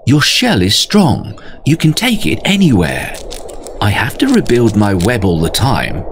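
A man's voice: fairly low pitch, huge pitch swings, a little slow, background noise, full character voice. A man voicing a fussy, house-proud female spider in a storybook, chatty and cheerful.

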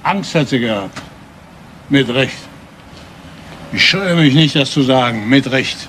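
A man's voice: mid-range voice, expressive, unhurried, fairly bright tone, a little hiss or hum. An actor playing a bitter, defiant older father in a German crime radio play, speaking curtly and repeating himself for emphasis. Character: bitter, defiant older family patriarch under police questioning.

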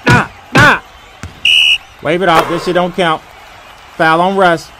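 A man's voice: high-pitched, level tone, slow, a loud crowd, heavy background noise. A man doing a profane comedic sports voiceover in slangy, emphatic, mock-serious speech.

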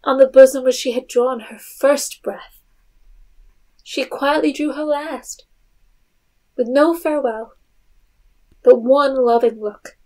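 A woman's voice: high voice, steady tone, takes it slowly, pauses often, warm tone, rough recording. A woman narrating a literary audio drama in a scripted storytelling style.

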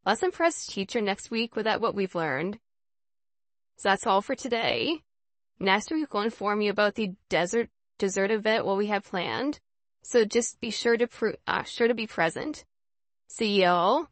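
A woman's voice: mid-range voice, expressive, moderate pace, no echo, lightly acted. A woman voicing a friendly, encouraging schoolgirl who leads a chess class in a visual novel, in an instructive manner. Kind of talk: reading visual novel dialogue aloud.

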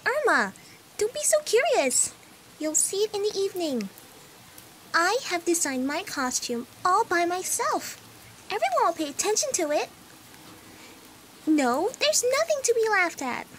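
A woman voicing an excitable, secretive, bubbly young teenage girl in a comic fandub. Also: extremely high pitch, measured pace, long pauses, slight background noise, exaggerated voice. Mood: excited.

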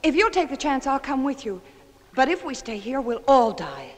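A woman's voice: sits high, very animated, normal speed. An actress playing an earnest, brave woman among undersea aliens in a 1960s TV puppet adventure. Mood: anxious, resolute. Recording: reverberant old TV soundtrack.

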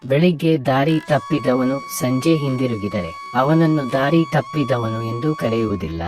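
A man's voice: medium pitch, lively intonation, moderate pace, music in the background, lightly acted. A man voicing a kindly village fisherman husband in a cartoon moral story, earnest and reassuring.

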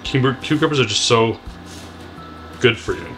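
A man's voice: medium pitch, moderate pace, soft background music. A middle-aged man co-hosting a cooking show, chatting casually as he chops vegetables in a home kitchen. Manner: relaxed, explains while chopping. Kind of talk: casual cooking demo chat.

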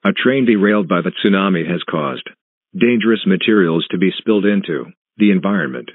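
A man reading a scripted emergency broadcast announcement in a flat, formal, synthetic manner. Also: sits low, brisk, no echo. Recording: tinny phone-like band, muffled husky sound.

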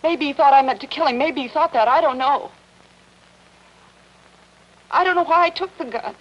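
An anxious young American wife played by an actress, speaking in a fairly high voice with earnest, melodramatic delivery. The style is 1950s television drama. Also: female voice, moderate pace, highs cut off, close to an everyday voice. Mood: distraught. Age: young adult.

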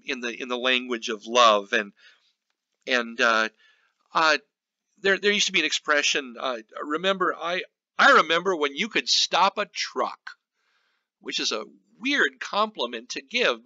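A male pastor giving an informal Bible study commentary in a halting, reflective teaching manner. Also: a little high, pushed and bright, no echo, lo-fi sound.

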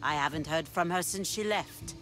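A weathered pirate captain in a video game cutscene, calm and measured, with a notably low voice. Game music plays under her lines. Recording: game score playing behind.

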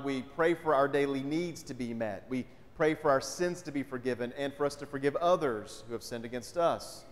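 A man, a pastor preaching a sermon in a conversational way and often asking the congregation questions. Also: on the high side. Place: large church sanctuary, indoors. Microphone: pulpit mic. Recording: long church hall reverb.

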